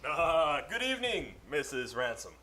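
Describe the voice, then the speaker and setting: A man's voice: fairly high pitch, very animated, moderate pace. A man playing a flirtatious, courtly country doctor in a 1940s-style radio comedy, with hesitant phrasing. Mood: suave, inviting. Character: smooth, flirtatious country doctor, male rival suitor. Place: small town theater stage. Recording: live stage recording with faint noise.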